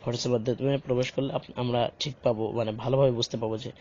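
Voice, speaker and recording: A man's voice: mid-range voice, level tone, rapid-fire, a little mellow, a little hiss or hum. A man giving a hesitant, step-by-step phone tutorial, explaining and repeating the screen prompts. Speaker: tutorial host for blind users. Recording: dull lo-fi home recording.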